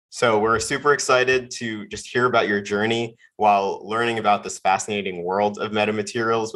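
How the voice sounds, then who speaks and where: A man's voice: on the low side, fairly bright tone. A man hosting a podcast, reading a guest introduction in an upbeat scripted way. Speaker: podcast host.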